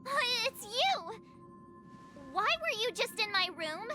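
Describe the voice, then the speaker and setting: An actress playing a cute, perky young girl in a video game, melodramatic and expressive, with a whiny edge. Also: extremely high pitch, takes it slowly, faint music behind, heavily acted. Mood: startled.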